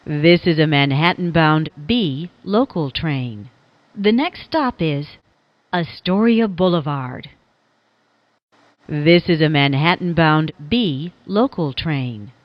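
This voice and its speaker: A woman's voice: low voice, lively intonation, slow, no room sound, lo-fi sound. A woman reading scripted transit announcements as a train announcer, in formulaic, carefully enunciated phrases that sound spliced together.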